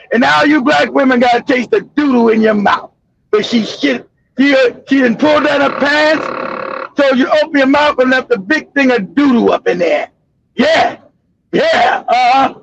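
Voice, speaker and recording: A man hosting a livestream, ranting loudly and mockingly with sudden shouts. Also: very high voice, gravelly. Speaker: host. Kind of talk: angry ranting livestream commentary. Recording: dull lo-fi stream audio.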